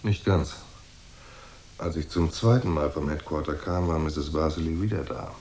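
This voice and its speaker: Very deep, mellow hard-boiled detective. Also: male voice, normal speed. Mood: cool, matter-of-fact. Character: cool, unflappable hard-boiled male private detective. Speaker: first-person narrator and detective. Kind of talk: noir radio drama narration and dialogue.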